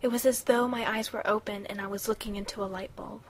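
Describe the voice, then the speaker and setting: A woman's voice: medium pitch, fairly even intonation, fast, a crowd in the background, close to an everyday voice. A woman playing an anxious teenage schoolgirl who narrates and acts in an amateur audio drama, earnest and dramatic. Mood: uneasy narrating.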